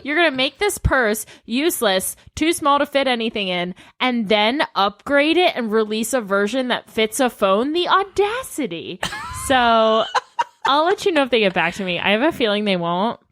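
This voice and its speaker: A woman's voice: on the high side, very animated, moderate pace, a bit bright, no echo. A woman chatting casually and comically as a podcast co-host, playfully dramatic and mock-indignant. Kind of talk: comedic casual podcast chat.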